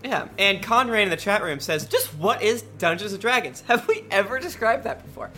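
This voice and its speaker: A man's voice: high-pitched, big pitch swings, sharp tone, soft background music. A man joking around as a player on a casual tabletop podcast. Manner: joking, goofy, quick asides.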